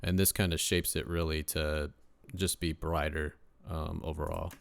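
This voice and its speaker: A deep-voiced young man, a rapper casually walking through his mixing setup in a relaxed way, with lots of ums and uhs. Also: normal speed, vocal fry, no room sound. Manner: laid-back, lots of uh and um fillers. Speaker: rapper and home mixing engineer. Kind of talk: casual screen-share mixing tutorial.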